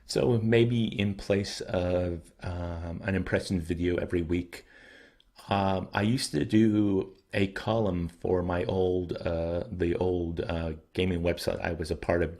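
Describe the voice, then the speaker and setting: A man hosting his own channel, talking casually and thoughtfully to his viewers, often pausing to weigh his words, his throat a little dry and scratchy. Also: sits low, darkish tone. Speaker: channel host.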